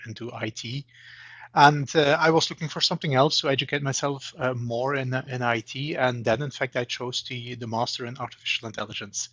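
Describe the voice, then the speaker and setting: Halting Belgian man with a dull, dry sound. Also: mid-range voice, fairly bright tone, breathy edge, dry recording. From eastern Belgium. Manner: hesitant, repeats words, many fillers. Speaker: guest, banking AI expert. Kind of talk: interview answers.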